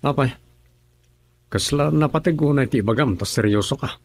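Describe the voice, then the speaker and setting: An actor playing a defensive young husband in a radio drama, indignant, with an Ilocano accent. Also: male voice, medium pitch, normal speed, darkish tone, close to an everyday voice.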